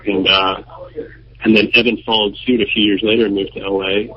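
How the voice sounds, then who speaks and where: A man's voice: on the low side, flat delivery, fairly quick, slightly rough. A man, the band's founder, telling its story in a plain, matter-of-fact way in a radio interview. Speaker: band founder. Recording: muffled lo-fi radio recording.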